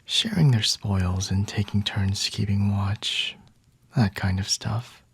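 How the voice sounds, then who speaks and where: A man playing a smug, flirty vampire in a whispered ASMR roleplay, teasing and condescending with a breathy, husky delivery. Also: lowish voice, slow, full character voice. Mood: aloof, explaining. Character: flirty, smug male vampire toying with a captured hunter.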